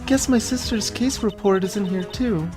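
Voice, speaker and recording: A woman voicing an earnest, expressive young female legal assistant in a video game, easily startled. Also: mid-range voice, moderate pace, close to an everyday voice. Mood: uneasy, curious. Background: faint game music.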